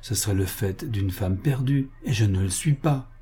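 A man's voice: fairly low pitch, moderate pace, mellow, breathy edge. A man voicing a proud, passionate society lady in love, with emphatic, pleading delivery, in a dramatic reading of a novel. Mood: indignant, earnest.

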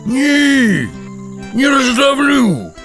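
A man playing a big, clumsy, simple-hearted bear with a growling, drawn-out delivery in a children's audio fairy tale. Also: extremely high pitch, wildly swooping pitch, a bit bright, faint music behind, heavily acted. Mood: clumsy, earnest. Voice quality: gravelly, growling. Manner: slow, roaring, drawn-out.

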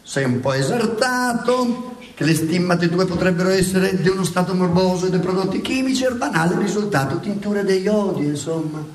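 Haughty, mocking pope, male actor: fairly high voice, emphatic sneer, satirical stage debate, distant roomy sound. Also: a little fast, natural voice. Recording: echoey stage, distant microphone.

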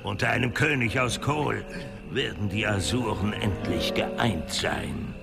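An actor playing a cool, calculating conspirator in a fantasy radio drama, with a commanding, sardonic manner. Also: male voice, lowish voice, expressive, unhurried, gravelly, loud music behind the voice, heavily acted.

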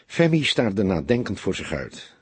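Man, audiobook narrator, very husky, slightly low voice, expressive storytelling, dry close sound. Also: measured pace, dry recording. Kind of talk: dramatic scripted narration of a detective novel.

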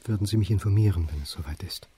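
Man acting mellow, persistent history lecturer. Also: deep voice, fast, a little hiss or hum, close to an everyday voice. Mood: polite, insistent. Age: 40s. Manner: polite, insistent, slightly annoyed. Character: polite, persistent male history lecturer in his forties. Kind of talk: German radio drama acting.

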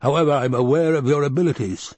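A man voicing an obese, sinister male baron with a rumbling basso voice, slow and taunting, in an audiobook. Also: a little mellow, slightly rough, no echo, close to an everyday voice. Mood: gloating. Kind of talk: audiobook character voice.

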